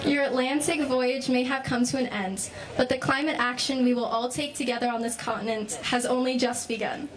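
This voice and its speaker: A woman's voice: sits high, steady tone, a little fast, hardly any pauses. A woman giving a short, formal welcome and thanks at an outdoor event. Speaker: welcoming speaker. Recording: noisy distant outdoor recording.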